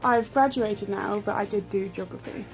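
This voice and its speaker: A woman in her early twenties answers interview questions as an election candidate. She is calm and measured, with soft music underneath. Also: medium pitch, rough recording. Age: 20s.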